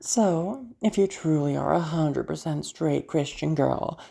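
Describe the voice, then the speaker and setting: Woman playing a low, creaky, seductive madwoman. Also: exaggerated voice. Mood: teasing, scheming. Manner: teasing, halting, drawn-out words with sudden hushed drops. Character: crazy, seductive, teasing female psychiatric patient with menacing streak. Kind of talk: audio roleplay monologue addressed to listener.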